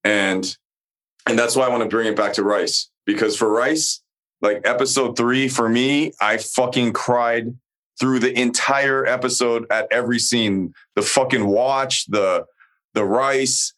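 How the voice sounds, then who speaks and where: A man's voice: mid-range voice, fairly even intonation, measured pace, a bit bright. A man co-hosting a podcast, chatting casually about a TV show, blunt and emphatic with heavy swearing. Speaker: podcast co-host.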